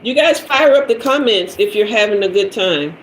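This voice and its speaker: A woman's voice: mid-range voice, lively intonation, normal speed. A woman in her fifties talks loosely with her livestream viewers, her speech slangy and casual. Her voice sits in a middle range. It rings a little in a roomy space, with a faint hiss underneath. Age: 50s. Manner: loose, chatty, slangy and conversational. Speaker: tarot reader hosting a livestream. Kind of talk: casual livestream chat reading comments. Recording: roomy echo with faint noise.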